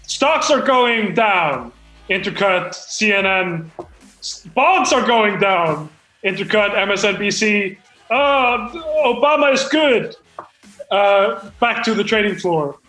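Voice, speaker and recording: A man's voice: high voice, expressive, takes it slowly, bright, forceful tone, reverberant, faint music behind, rough recording. A man reading his screenplay aloud at a comedy table read, stumbling, hesitant and apologetic.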